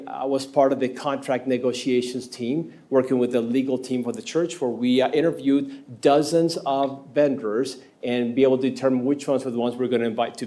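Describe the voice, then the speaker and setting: Council candidate, 50s, Argentine-accented man at a podium mic, earnest, pauses often. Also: medium pitch, dark tone. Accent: Argentine Spanish. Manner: earnest, halting with frequent uh fillers. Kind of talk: answering an interview question at a public meeting. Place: city council chamber. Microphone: podium microphone.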